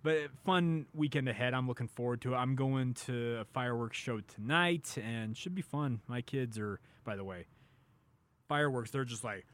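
A man's voice: medium pitch, normal speed. A man with an American accent hosting a casual sports radio talk show, chatting and steering the conversation.

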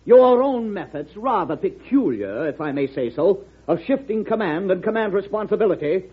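Haughty, high-voiced army general. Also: male voice, lively intonation, moderate pace, slightly rough. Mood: coolly defiant. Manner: pompous, formal, coolly insolent. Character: haughty, stiff old-school army general. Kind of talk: vintage radio drama acting. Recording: dull vintage radio broadcast.

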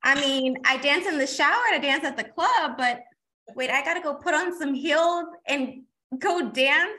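A woman guest on a podcast, chatty and energetic, talking casually and calling the host "girl". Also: high-pitched, normal speed. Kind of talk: casual interview chat.

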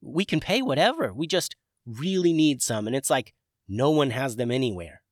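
A man's voice: medium pitch, animated, normal speed, no room sound, clean studio sound, pitched above the performer's own voice, close to an everyday voice. A man voicing an anxious, friendly male dragon who stammers when flustered.

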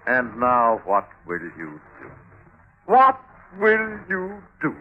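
A man's voice: medium pitch, wildly swooping pitch, slow pace, exaggerated voice. An actor playing a scornful man who taunts and goads insistently, in old radio drama style. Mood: taunting dare. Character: scornful man goading a timid boaster. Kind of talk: 1950s radio drama acting. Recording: tinny, hissy old radio recording.

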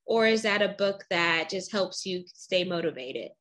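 A woman's voice: fairly low pitch, expressive, measured pace, fairly bright tone. A woman hosting a casual interview segment, upbeat and encouraging with her guest. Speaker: interview host.

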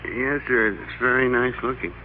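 An actor as a meek middle-aged worker, tinny radio. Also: male voice, mid-range voice, fairly even intonation, normal speed, close to an everyday voice. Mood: timid, deferential. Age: 40s. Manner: hesitant, deferential, apologetic. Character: meek, timid forty-six-year-old male employee, resentful underneath. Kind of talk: old-time radio drama acting. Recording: noisy, tinny old radio recording.

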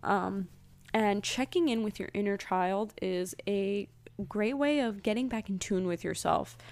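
A woman's voice: medium pitch, a little slow, vocal fry, a little mellow. A young woman rambling through a weekly oracle card reading in a casual, chatty way, full of ums and asides. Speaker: spiritual podcaster.

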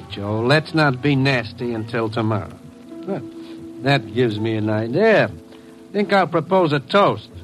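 An actor playing a dry, cynical, heavy-drinking husband in old-time radio drama style. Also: male voice, fairly high pitch, low-quality recording.

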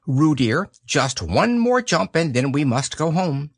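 A man's voice: fairly high pitch, sing-song, measured pace, husky, dry recording, studio quality, voice pushed up, exaggerated voice. A man voicing a busy, motherly female kangaroo who only half listens.

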